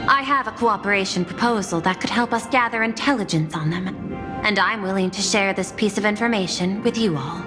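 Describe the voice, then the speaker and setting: A woman playing a poised, persuasive executive in a video game, formal and measured, with grand confidence. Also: medium pitch, natural voice. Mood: businesslike, persuasive. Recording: long reverb, music behind.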